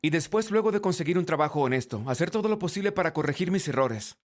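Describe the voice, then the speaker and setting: A man's voice: a little high, animated, fast talker. A man reading a scripted first-person story as narrator.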